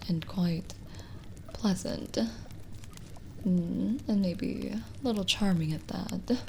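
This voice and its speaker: A woman playing a lonely, kindly witch in an intimate ASMR roleplay, speaking softly and breathily with a gentle teasing manner, often near a whisper. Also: on the low side, slight background noise. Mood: soft, flirtatious. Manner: slow, hesitant, gently teasing.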